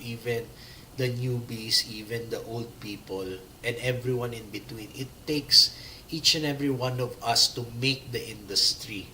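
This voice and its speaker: A man's voice: mid-range voice, pushed and bright, slight background noise, low-quality recording. A man from the Philippines giving a live slide talk, losing his voice, rambling with many fillers and restarts. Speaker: presenter giving a talk on working in motorsport. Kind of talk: live-streamed slide presentation with audience questions.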